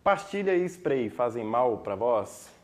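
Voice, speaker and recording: A Brazilian TV host with a mid-range voice who briskly leads the show from one segment to the next. Questions are read out slowly and clearly. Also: mid-range voice, very animated, a little mellow. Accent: Brazilian Portuguese. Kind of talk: hosting a TV segment.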